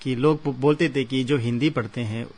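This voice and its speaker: A man from Arunachal Pradesh speaks at a middle pitch as a guest on a radio interview. He is a Hindi professor and poet. His talk is earnest and steady, and he pauses on fillers as he thinks.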